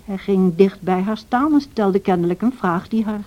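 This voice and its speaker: Mellow, earnest female storyteller played by an actress. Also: medium pitch, moderate pace, natural voice. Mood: narrating, disapproving. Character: plain-spoken, disapproving housekeeper recounting the household's troubles. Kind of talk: scripted first-person narration in radio drama. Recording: dull lo-fi old radio recording.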